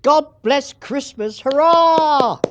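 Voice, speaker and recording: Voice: sits high, takes it slowly, lo-fi sound, noisy background. A performer playing a meek, good-hearted male clerk in a radio play, hesitant and deferential. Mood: glad.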